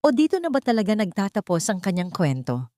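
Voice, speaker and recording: A woman's voice: medium pitch, sing-song, fairly quick, dark tone, no room sound, clean studio sound. A woman storyteller reading dramatic scripted narration for an audio love story.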